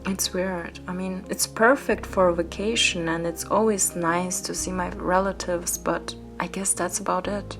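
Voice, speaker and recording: A woman's voice: on the low side, fairly even intonation, measured pace, hardly any pauses. A woman from Austria, a native German speaker, rambling casually in a craft vlog voice-over. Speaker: craft vlogger. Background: background music.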